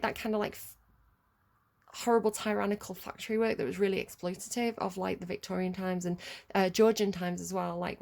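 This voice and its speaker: Chatty British woman with gravelly voice. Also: mid-range voice, a little mellow. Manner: chatty and rambling, laughs often. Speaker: tarot reader. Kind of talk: casual tarot reading chat.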